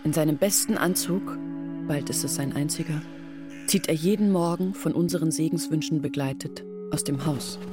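An actress playing a grieving young village woman who tells of her family's downfall in an earnest, measured radio drama narration. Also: low voice, over music, close to an everyday voice. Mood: sorrowful, earnest.

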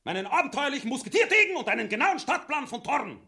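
An actor playing an aggrieved, boastful army captain in a grotesque radio comedy, plaintive and melodramatic in Viennese dialect. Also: male voice, very high voice, sing-song, fast, sharp tone, heavily acted. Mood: pleading, aggrieved.

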